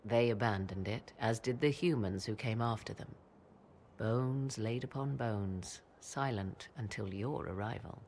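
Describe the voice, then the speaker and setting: A mysterious, proud sorceress in a fantasy game. The voice has a gravelly fry and moves through archaic, theatrical phrases at a measured gait. A faint noise sits behind it. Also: female voice, rumbling low, darkish tone. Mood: solemn, mysterious. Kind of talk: dramatic video game acting.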